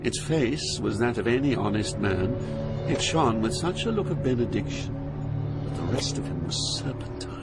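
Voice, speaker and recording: A man's voice: mid-range voice, lively intonation, normal speed, over loud music, natural voice. An actor playing an awed, fearful poet pilgrim, narrating his journey through hell in a solemn, theatrical manner. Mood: solemn dread. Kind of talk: dramatized literary narration.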